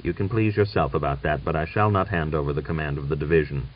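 A man's voice: extremely low pitch, steady tone, fast, far from the mic. A man voicing a proud, hot-blooded cavalry commander in an audiobook, thick-voiced, stubborn and challenging. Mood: stubborn refusal. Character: defiant, proud, hot-blooded male Cossack division commander. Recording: dull lo-fi recording.

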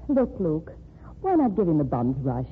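An actress playing a brisk, sharp-tongued woman reporter in an old radio drama. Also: low-pitched, wildly swooping pitch, husky, heavily acted. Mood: spirited. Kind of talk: 1940s radio acting. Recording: tinny lo-fi old radio recording with faint hiss.

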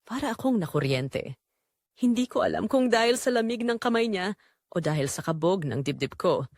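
A woman's voice: mid-range voice, lively intonation, moderate pace, darkish tone, dry recording, clean studio sound. A woman with a Filipino accent narrating a scripted first-person romance as a tender young call center agent. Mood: heartfelt, wistful. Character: tender young female call center agent telling her love story. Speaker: story narrator.

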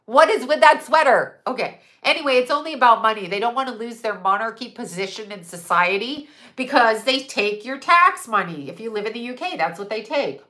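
A middle-aged woman rants bluntly and profanely on a home live stream, her nose stuffy. Her voice is bright and cutting and sits a little high. She is caught by a webcam at desk distance in a room at home. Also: expressive, fairly quick. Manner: blunt, profane, emphatic with hand gestures. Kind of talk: casual live-stream rant.

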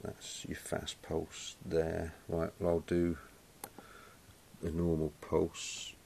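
A man's voice: low voice, monotone, slow, creaky, dark tone. A man giving a casual welding demonstration in a workshop, matter-of-fact and chatty, calling people "mate". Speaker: welding instructor. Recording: noisy workshop camera audio.

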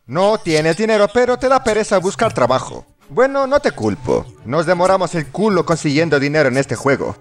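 Animated, high-pitched sarcastic man narrating. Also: normal speed, few pauses. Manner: sarcastic, exaggerated, exclamatory. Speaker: fandub narrator. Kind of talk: scripted comedic parody narration. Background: music.

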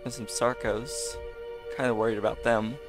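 A man with a raspy voice, hoarse from being sick, giving casual gameplay commentary, chatty, laughing often, with sudden excited outbursts. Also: medium pitch, moderate pace, over music. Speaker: gaming YouTuber.

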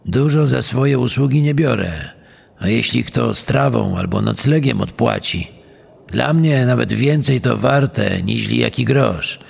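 A man playing a gruff middle-aged wandering tinsmith in a true-crime dramatization, with a raspy voice and rustic, old-fashioned speech. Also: medium pitch, unhurried, exaggerated voice. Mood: humble, ingratiating. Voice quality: raspy, gravelly.

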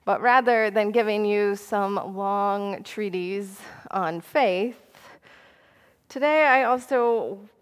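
Slow-paced American woman preaching. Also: mid-range voice, clear, resonant tone. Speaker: pastor. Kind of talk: sermon. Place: church pulpit indoors. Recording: reverberant church hall.

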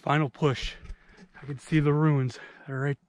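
A man's voice: a little high, level tone, measured pace, a little hiss or hum. Man narrating a canyon hike outdoors. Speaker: solo hiker filming his trip. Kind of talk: casual vlog narration while hiking. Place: outdoors on a steep canyon trail.

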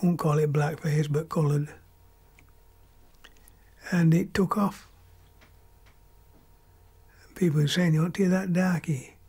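A man's voice: a little high, steady tone, slow, long pauses, darkish tone, husky. An older man with a broad Yorkshire accent, speaking plainly in a documentary interview. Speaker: interviewee, comedian.

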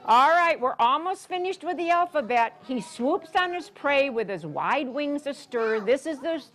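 Older American woman, animated high-pitched story time reader. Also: a little slow, faint music behind. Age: 60s. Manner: teacherly, sing-song, prompting with questions. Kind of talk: reading a picture book aloud to young children, interactive. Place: carpeted library program room, indoors.

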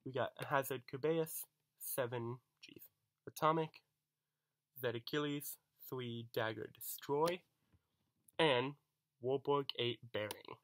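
A young man with a cold chatting excitedly through a toy unboxing at home, recorded on a camera mic. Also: mid-range voice, slow pace, long pauses. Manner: excited, chatty, self-deprecating. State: coming down with a cold. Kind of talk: casual unboxing commentary. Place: small home room, bedsheet backdrop.

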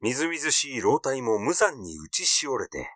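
A man reading literary fiction aloud in an expressive, theatrical narrating style. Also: mid-range voice, normal speed, no room sound, studio quality. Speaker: audiobook reader.

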